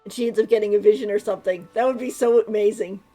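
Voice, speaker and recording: A woman's voice: medium pitch, fairly even intonation, very fast, mellow, faint music behind. A woman streaming a game with casual live commentary, informal and gushing as she reacts.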